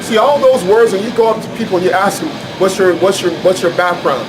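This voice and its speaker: A man's voice: high voice, quick pace, over music, low-quality recording. An American man preaching and teaching scripture informally, arguing his points in an emphatic, repetitive, colloquial way. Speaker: street preacher and Bible teacher.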